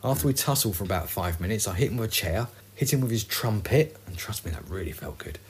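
A man's voice: on the low side, animated, quick pace, slightly rough. A man playing a boastful scrawny guy in an audio drama comedy.

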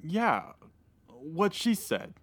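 Man doing a slow sullen suspect. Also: fairly high pitch, sing-song, a bit bright, no room sound, exaggerated voice.

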